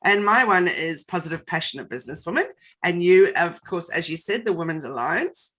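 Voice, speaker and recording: A woman hosting a casual live interview over a video call, bubbly and enthusiastic, a little croaky this morning. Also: fairly low pitch, animated, fairly quick, a bit bright. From Australia. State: slightly croaky voice. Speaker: host.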